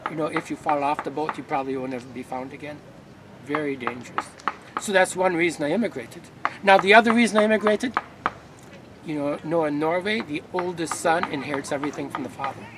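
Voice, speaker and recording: A man playing an old-time Norwegian immigrant fisherman, telling tall tales in a droll, deadpan way outdoors. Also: a little high, fast, distant mic, close to an everyday voice. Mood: droll storytelling. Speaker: living-history storyteller. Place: outdoor festival grounds. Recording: outdoor noise, voice a bit off mic.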